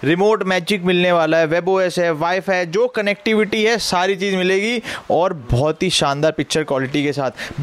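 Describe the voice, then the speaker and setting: Male shop salesman, high voice, Delhi Hindi accent, pushy and persuasive warehouse walkthrough. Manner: brisk persuasive, addresses viewer as sir. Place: large electronics warehouse indoors.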